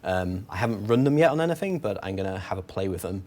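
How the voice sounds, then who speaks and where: Low-voiced man giving a casual tech talk. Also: animated, fast, darkish tone, a little hiss or hum. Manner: casual, filler-heavy, wry. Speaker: conference speaker. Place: meetup room at a podium. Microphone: podium microphone.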